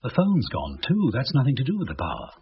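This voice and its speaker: An actor with a British accent playing a fretful, apologetic dinner-party host, anxious and insistent, in a radio drama. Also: male voice, mid-range voice, wildly swooping pitch, quick pace. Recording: muffled radio broadcast, faint noise.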